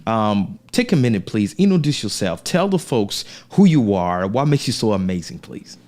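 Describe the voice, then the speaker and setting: Host, male, lowish voice, eager and encouraging, keeps tossing in tag questions. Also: sing-song, moderate pace, a little mellow. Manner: enthusiastic, frequent tag questions. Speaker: podcast host. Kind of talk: upbeat interview hosting.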